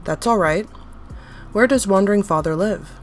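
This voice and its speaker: A woman's voice: mid-range voice, moderate pace, soft background music, lightly acted. A woman voicing a female scientist who questions a strange being in a firm, direct way.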